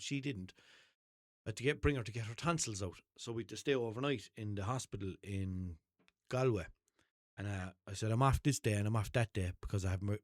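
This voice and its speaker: A man's voice: lowish voice, no room sound, clean studio sound. Rambling Irish man with a Limerick accent. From Ireland. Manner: rambling, self-questioning, swears casually. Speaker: podcast host. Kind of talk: unscripted rambling monologue. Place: small room at home, seated in a desk chair.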